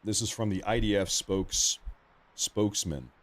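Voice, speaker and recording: A man's voice: fairly low pitch, unhurried. A man hosting a live stream, reacting informally and commenting on breaking news in a casual way.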